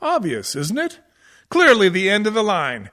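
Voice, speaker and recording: Man playing a pretentious professor, high-pitched, sharp bright tone, pompous lecturing, comic radio play. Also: huge pitch swings, moderate pace, full character voice. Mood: smugly lecturing. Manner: pompous, erudite, over-enunciated lecturing. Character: pompous male professor, pretentious scholar of musical theater.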